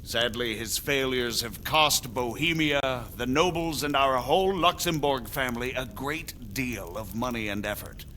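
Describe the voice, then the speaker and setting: A man playing a measured, diplomatic medieval margrave in a video game, speaking formally and deliberately. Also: medium pitch, bright, forceful tone, a little hiss or hum. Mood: measured, regretful. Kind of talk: dramatic video game acting.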